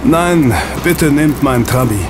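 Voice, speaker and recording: An actor in an audio drama playing a friendly ex-agent who runs a snack bar, soothing and chatty. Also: male voice, mid-range voice, a little slow, natural voice. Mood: reassuring. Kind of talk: German audio drama dialogue. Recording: clear street and traffic ambience. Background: outdoor city street with vehicles.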